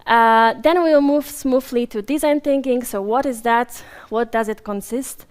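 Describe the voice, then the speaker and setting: A woman's voice: a little high, measured pace, clear, resonant tone. A Polish woman giving a live conference talk, chatty and apologetic, with frequent fillers and restarts. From Poland. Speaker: conference speaker. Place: conference room with a live audience. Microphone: handheld or stage microphone while moving around.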